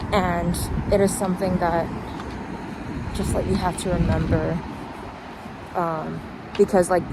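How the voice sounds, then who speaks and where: A woman's voice: on the low side, vocal fry. A young woman talking casually and reflectively in a rambling vlog, recorded outdoors while walking. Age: young adult. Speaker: vlogger. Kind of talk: casual chatty vlog monologue. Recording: noisy outdoor street with traffic.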